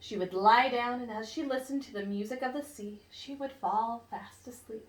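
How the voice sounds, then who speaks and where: Female children's storyteller, fairly high voice, expressive and instructive, recorded in an echoey room. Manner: expressive, teacherly, pausing to ask and explain. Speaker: read-aloud storyteller for children. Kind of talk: picture book read-aloud with questions to young listeners. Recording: roomy, distant mic with faint noise.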